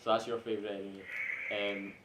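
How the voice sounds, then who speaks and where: A man's voice: fairly low pitch, little pitch movement, fast talker, reverberant, crowd noise, low-quality recording. A man hosting a casual group chat, recorded in amateur podcast quality. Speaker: podcast host.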